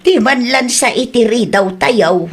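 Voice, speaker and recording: Husky, nagging Ilocano village mother played by a woman. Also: fairly high pitch, expressive, a little slow. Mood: nagging, worried. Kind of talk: amateur radio-style drama acting.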